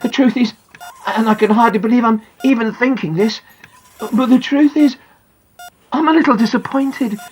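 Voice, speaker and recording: A woman voicing an excitable young boy who lies in a coma, confiding his thoughts emphatically in a dramatic audiobook narration. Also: on the high side, normal speed, pauses often, slightly rough, voice pushed up, poor audio. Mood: let down.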